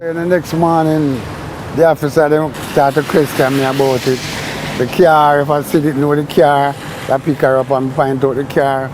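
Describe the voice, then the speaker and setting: A man's voice: on the high side, level tone, normal speed. A man with a Jamaican accent giving a casual account in an interview. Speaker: interviewee, street vendor. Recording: noisy location interview.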